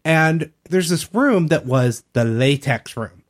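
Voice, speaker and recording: Higher-voiced man telling casual stories. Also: lively intonation, takes it slowly. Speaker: podcast regular telling stories. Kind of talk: casual anecdotal chat.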